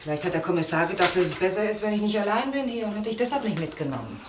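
An actress in a radio crime drama playing an earnest, excitable and loyal young girlfriend. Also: mid-range voice, very fast, close to an everyday voice. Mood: weary, relieved. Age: young adult. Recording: noisy old radio play recording.

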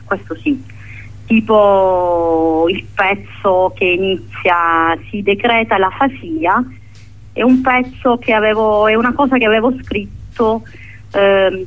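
A woman's voice: medium pitch, measured pace. A woman talking reflectively in an interview, hesitant, often searching for words and repeating herself. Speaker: playwright being interviewed. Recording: narrow phone-line sound.

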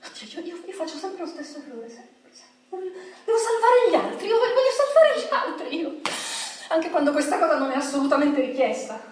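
A moralizing, self-pitying woman in a radio drama. Her voice is extremely high, theatrical and emphatic. She sounds distant from the microphone, in a space thick with echo. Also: big pitch swings, moderate pace, darkish tone, slight background noise. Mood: anguished, disdainful. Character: strident, moralizing, well-off left-wing woman, self-pitying saviour. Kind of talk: stage-like radio drama acting. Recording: very echoey room, distant from the mic.

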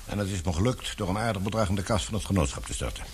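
A middle-aged, fatherly employer played by a male actor in a Dutch radio play. His voice is very low, with measured authority and a businesslike turn. The recording carries a faint hiss. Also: close to an everyday voice. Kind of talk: Dutch radio drama acting.

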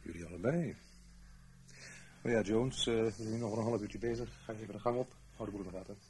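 A man acting in a Dutch radio play as a brisk, practical, matter-of-fact secret agent. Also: lowish voice, close to an everyday voice. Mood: businesslike. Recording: noisy radio play recording.

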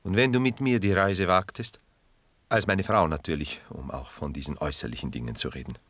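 Low-voiced, musing melancholy lover. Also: male voice, a bit bright, no room sound. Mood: earnest, restrained. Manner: measured, literary, musing delivery. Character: reflective older male lover, tender, melancholy, philosophizing. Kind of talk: 1960s German radio drama acting.

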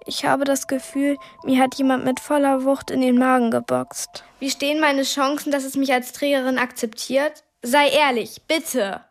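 A woman's voice: sits high, steady tone, moderate pace, hardly any pauses. A woman playing an earnest, determined thirteen-year-old girl in a radio play, speaking in an urgent, direct way. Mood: anxious.

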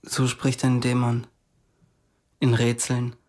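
A man's voice: medium pitch, completely flat delivery, takes it slowly, a little mellow, no room sound, studio quality, close to an everyday voice. An actor playing an earnest, inquisitive young man in a radio drama, delivering terse short phrases. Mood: intent, narrating. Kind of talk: radio drama narration and dialogue.

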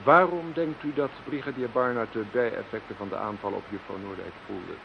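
An actor playing a self-assured doctor of the paranormal in a Dutch audio drama, speaking in measured, formal, lecturing phrases. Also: male voice, lowish voice, vocal fry. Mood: explaining. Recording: dull, noisy, hissy old radio recording.

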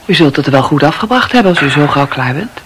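An actress playing a reserved, gently teasing middle-aged hospital nurse in a radio drama, cautious and coolly polite. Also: female voice, sits low, expressive, fast, slight background noise.

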